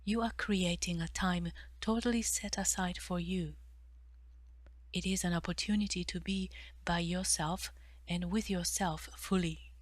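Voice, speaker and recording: A woman guiding a meditation in a soft, breathy delivery with measured pauses between phrases. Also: on the low side, fairly even intonation, dry recording. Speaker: meditation guide, therapist. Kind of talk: guided meditation narration.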